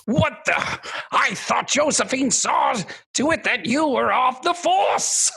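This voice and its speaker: An actor playing a gloating, theatrical villain king in a radio comedy, taunting and bombastic, with a raspy, creaky voice. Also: extremely high pitch, expressive, slow pace, sharp tone, a little hiss or hum, exaggerated voice. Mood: menacing, indignant.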